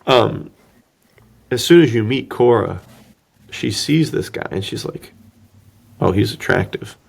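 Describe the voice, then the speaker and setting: A man's voice: medium pitch, animated, measured pace, creaky. A man co-hosting a podcast, chatting casually and jokingly about film in an informal way. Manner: informal, joking, prone to chuckling. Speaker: podcast co-host.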